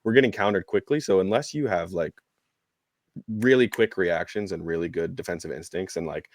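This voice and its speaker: A man on a podcast panel giving casual sports analysis, explaining step by step with halting repeats. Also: deep voice.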